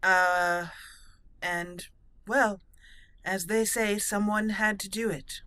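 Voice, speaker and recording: Chatty lady hosting a let's play, bright lowish voice, thinking out loud, dry close mic. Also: fairly even intonation, takes it slowly, no echo. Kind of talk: casual roleplay game commentary.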